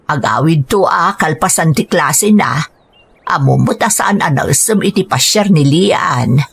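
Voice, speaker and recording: A husky, caring Filipino matriarch. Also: female voice, deep voice, animated, measured pace, exaggerated voice. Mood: gentle. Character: caring, persuasive middle-aged mother and elder sister, practical. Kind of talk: Ilocano radio drama acting.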